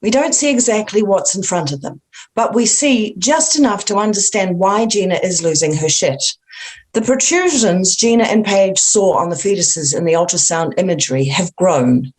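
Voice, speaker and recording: A woman's voice: medium pitch, expressive, moderate pace, darkish tone. A woman reading stage directions from a horror screenplay as scripted narration.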